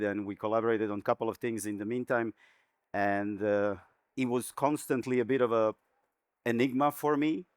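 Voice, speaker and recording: A man from Croatia, hosting an art talk as curator and asking questions in a relaxed, thoughtful way. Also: fairly low pitch, no echo, studio quality. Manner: relaxed, thoughtful, many backchannels. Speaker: curator and interviewer.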